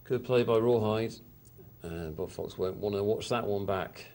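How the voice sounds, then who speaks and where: Low-voiced poker commentator. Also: male voice, a little slow, darkish tone. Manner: chatty, self-mocking, hesitant with fillers. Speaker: poker show host and commentator. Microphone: studio mic.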